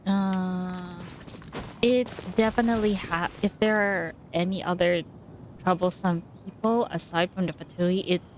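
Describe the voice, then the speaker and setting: A woman gives casual, off-the-cuff game commentary. Her voice sits a little low and moves slowly and hesitantly, with faint noise behind it. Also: steady tone. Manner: hesitant, musing, trailing repetitions. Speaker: game streamer.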